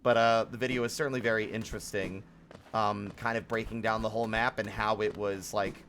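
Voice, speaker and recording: A man's voice: lowish voice, level tone, fairly quick, fairly bright tone, slight background noise. A man giving casual live commentary as a game streamer, rambling and thinking aloud as he goes.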